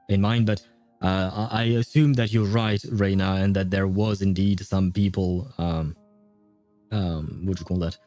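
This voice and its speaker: Male streamer, relaxed mellow tone, casual live game commentary, hesitant phrasing, light music in the background. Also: lowish voice. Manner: hesitant, searching for words. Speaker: game livestreamer.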